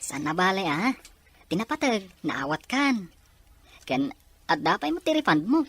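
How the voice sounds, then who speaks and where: A woman's voice: mid-range voice, sing-song, a little slow, fairly bright tone, husky, full character voice. A woman playing an encouraging young student friend in a drama. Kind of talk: drama acting.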